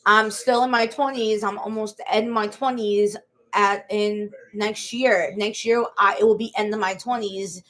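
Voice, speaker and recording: A woman's voice: medium pitch, fairly even intonation, unhurried, fairly bright tone. Woman, late twenties, casual vlog talk, rambling, repeats herself, many fillers and restarts. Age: 20s. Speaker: vlogger. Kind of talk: casual personal talk to camera.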